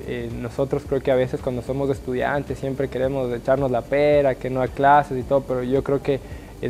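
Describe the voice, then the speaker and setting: A young man from Guayaquil who speaks with an Ecuadorian highland accent, giving an earnest, upbeat testimonial in a small studio, often restarting his phrases. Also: mellow, slight background noise. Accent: Ecuadorian highland (serrano). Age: young adult. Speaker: alumnus interviewee. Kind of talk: sit-down testimonial interview.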